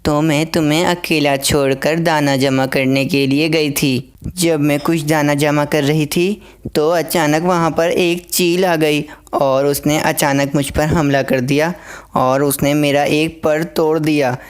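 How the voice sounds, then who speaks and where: A gentle mother sparrow from a cartoon fable, acted by a woman, speaks with a clear, smooth voice. She is protective and anxious, explaining things with care. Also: low voice, steady tone, measured pace. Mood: protective, sorrowful.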